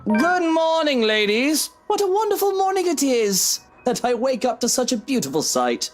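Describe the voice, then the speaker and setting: Luke, a wildly swooping, cheeky husband. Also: male voice, very high-pitched, sing-song, moderate pace, faint music behind. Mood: playful, teasing. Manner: playful, flamboyant, drawling. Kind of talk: visual novel voice acting.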